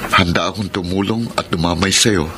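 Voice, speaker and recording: A man's voice: deep voice, steady tone, fairly quick. A man with a Filipino accent playing a kind, devoted tricycle driver in a radio drama. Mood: caring, earnest. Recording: clearly noisy ambient background.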